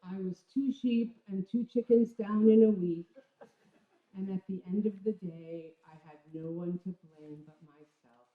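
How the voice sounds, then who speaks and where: A woman's voice: medium pitch, narrow, tinny audio. Woman reading a story, thin roomy sound. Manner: expressive storytelling with deliberate pauses and emphasis. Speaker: reader performing a literary memoir at a live event. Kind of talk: dramatic literary reading before a live audience.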